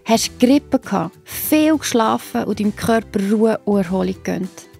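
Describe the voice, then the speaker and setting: Female children's storyteller: Swiss German accent, soft dark tone, encouraging direct address, light music bed. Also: mid-range voice, animated, normal speed, gravelly. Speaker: narrator.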